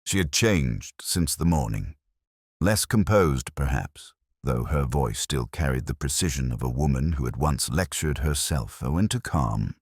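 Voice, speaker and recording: A middle-aged man narrating a first-person detective story in a measured, brooding, literary manner. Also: a bass voice, animated, darkish tone, breathy edge, no echo, studio quality.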